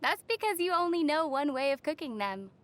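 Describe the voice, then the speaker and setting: Young heroine of a video game, fairly high voice, gentle, lightly teasing. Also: female voice, animated, a little fast. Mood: wry. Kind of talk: video game character acting.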